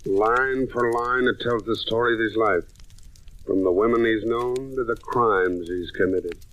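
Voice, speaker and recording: An actor playing a world-weary, knowing hard-boiled detective in a 1940s radio drama monologue. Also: male voice, lowish voice, unhurried, close to an everyday voice, rough recording. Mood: knowing, ominous. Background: loud music.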